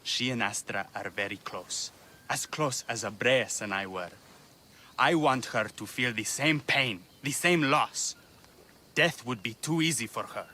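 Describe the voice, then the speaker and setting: A man's voice: mid-range voice, measured pace, pushed and bright. An actor playing a grieving, vengeful man in a video game, solemn and bitter. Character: grieving, vengeful male musician who lost his brother. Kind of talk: video game dramatic acting. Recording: faint ambient noise.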